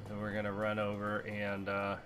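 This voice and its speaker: Guy doing a let's play, stuffy and a bit hoarse, lowish voice, faint music behind. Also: normal speed. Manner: rambling, relaxed, many ums. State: stuffy, slightly hoarse throat. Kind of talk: casual gameplay commentary. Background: faint game music.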